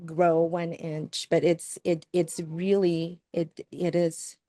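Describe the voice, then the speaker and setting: A woman panelist in a conversation, speaking in a thoughtful, measured way. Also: fairly low pitch, little pitch movement, warm tone, no room sound, clean studio sound.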